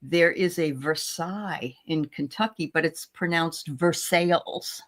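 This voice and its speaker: A woman's voice: deep voice, unhurried. A woman with a Boston accent hosting a live stream chat, greeting viewers by name. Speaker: host.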